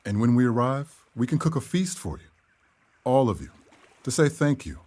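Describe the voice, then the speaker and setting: An actor playing a polite, warm-hearted village man in a Regency audio drama, courteous and deferential. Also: mid-range voice, very animated, normal speed. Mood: grateful, hopeful.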